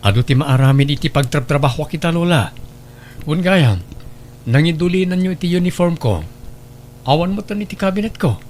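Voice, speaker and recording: A man's voice: fairly high pitch, expressive, normal speed, long pauses, a little mellow, slight background noise, natural voice. A man playing a dutiful, grateful young student grandson in a radio drama, affectionate and earnest. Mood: concerned, affectionate. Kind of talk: Ilocano radio drama acting.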